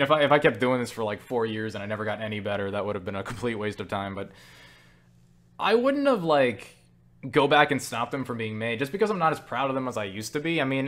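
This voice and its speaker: A young man talks off the cuff in a casual question-and-answer style, sounding tired. He rambles and jokes at his own expense, with casual swearing. His voice sits at an ordinary middle pitch. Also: a bit bright. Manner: self-deprecating, joking, swears casually. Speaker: video creator answering viewer questions. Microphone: webcam setup.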